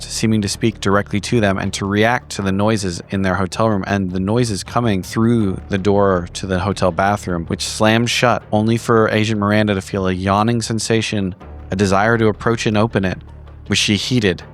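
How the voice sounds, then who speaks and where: A man reading a scripted horror game recap in a formal, measured storytelling manner. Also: on the low side. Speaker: player giving the story recap.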